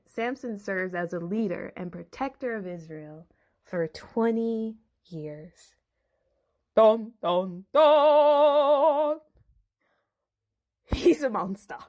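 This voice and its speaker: A woman's voice: mid-range voice, creaky, a little mellow. A woman telling a Bible story in a theatrical, suspenseful narrating style.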